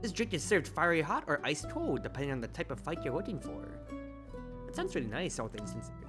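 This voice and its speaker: A streamer commentating on a game and reading its text aloud in an expressive, theatrical, exclamatory way. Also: medium pitch, fast, loud music behind the voice. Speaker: streamer, host.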